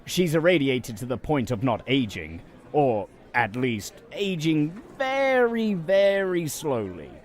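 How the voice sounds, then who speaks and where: A courteous male official in an audio drama, acted by a man, with very lively intonation. He questions and explains methodically, in a reassuring, measured manner. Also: on the high side. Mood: explaining, reassuring. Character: courteous, probing settlement official who explains the wasteland.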